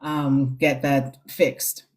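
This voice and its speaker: A woman's voice: a bass voice, little pitch movement, extremely slow, darkish tone. A woman hosting a casual live stream, giving spiritual guidance in a reassuring, chatty way. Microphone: phone on a live stream.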